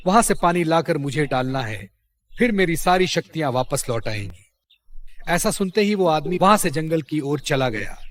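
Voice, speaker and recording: Man, storyteller, scripted children's tale, fairly high pitch, very lively intonation. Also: moderate pace.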